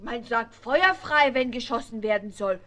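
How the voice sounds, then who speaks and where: A woman's voice: a little high, animated, moderate pace, a bit bright. A woman voicing a motherly, fussing female pig in a children's radio play. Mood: motherly, helpful.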